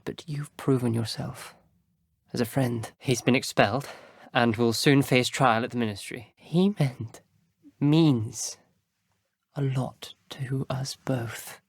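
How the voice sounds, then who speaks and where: An actor voicing an earnest, troubled teenage boy wizard in a video game, wary of dark magic, speaking in a measured, grave and articulate way. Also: mid-range voice, no echo, clean studio sound. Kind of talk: video game character dialogue.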